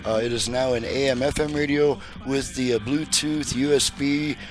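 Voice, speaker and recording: Halting, informal man demonstrating repair work. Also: mid-range voice, fairly even intonation, over music. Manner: halting, informal, thinking aloud. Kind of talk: casual product demonstration.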